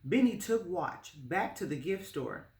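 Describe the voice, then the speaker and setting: A woman reading a children's story aloud as narrator, in a measured, expressive storytelling manner. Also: on the low side. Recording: roomy, echoing space.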